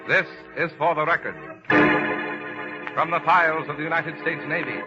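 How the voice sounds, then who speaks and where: An actor playing a solemn, declamatory narrator in the style of 1940s radio drama. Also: male voice, on the high side, fairly even intonation, moderate pace, over loud music, lightly acted. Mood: solemn, dramatic. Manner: declamatory, emphatic. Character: solemn, dramatic male documentary announcer. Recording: muffled old 1940s radio recording.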